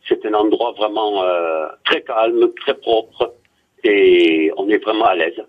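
A man calls in to a radio show, halting often as he searches for the right words. His husky voice comes over a thin, tinny phone line. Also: on the low side. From Netherlands. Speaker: radio caller.

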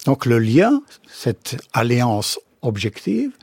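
Man, non-native speaker, middle-aged, philosopher guest, ironic and emphatic, husky, dry studio sound. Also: slow pace, no echo. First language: not French. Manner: expressive, ironic, emphatic with sudden pitch jumps. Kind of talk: radio interview, animated explanation. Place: radio studio.

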